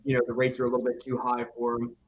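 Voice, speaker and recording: A man's voice: medium pitch, monotone, rapid-fire, lo-fi sound. A man co-hosting a casual podcast chat, often chiming in with agreement. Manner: frequent agreeing "yeah". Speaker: podcast co-host.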